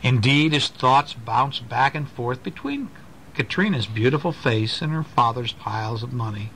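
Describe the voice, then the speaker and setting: A man reads a bedtime story to a young child in an expressive, mid-pitched voice. He pauses to explain and to check with little questions. It is a homemade recording with faint noise in the background. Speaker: parent reading a bedtime story. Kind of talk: storytelling read aloud to a small child, with asides. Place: at home, reading to a child. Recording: noisy amateur home recording.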